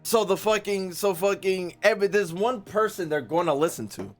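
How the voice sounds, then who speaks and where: A man is commentating casually on a live stream. His pitch swings in a lively way as he thinks out loud in profane, slangy speech. Soft music plays faintly underneath. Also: normal speed.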